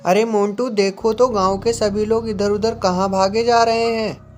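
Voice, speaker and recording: A woman's voice: mid-range voice, steady tone, measured pace, faint music behind, lightly acted. A woman voicing a young village boy, one of a group of friends in a children's cartoon story. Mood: curious.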